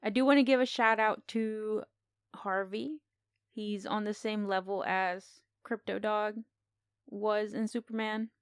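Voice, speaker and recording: A woman's voice: mid-range voice, fairly even intonation, a little slow, clean tone, no room sound, clean studio sound. A woman co-hosting a podcast, talking casually as she gives her review of a film.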